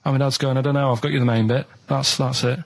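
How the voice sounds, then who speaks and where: A man's voice: medium pitch, steady tone, fast, creaky. A man telling casual anecdotes on a radio show in a matter-of-fact, colloquial way.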